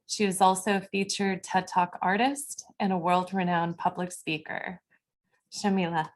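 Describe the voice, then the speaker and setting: A woman moderator giving a formal, measured introduction of a panel speaker. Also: on the low side, steady tone.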